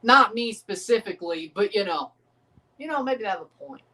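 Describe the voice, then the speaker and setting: A man's voice: high-pitched, animated, normal speed, bright, forceful tone, far from the mic. A man, hosting a casual livestream reaction and rambling profanely while second-guessing himself. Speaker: livestream host reacting to a match.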